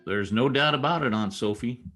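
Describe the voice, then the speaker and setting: A man's voice: mid-range voice, moderate pace, a bit bright, faint music behind, close to an everyday voice. A man acting a wry, scheming newspaper reporter in an old-time radio comedy, with a theatrical delivery. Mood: mock romantic.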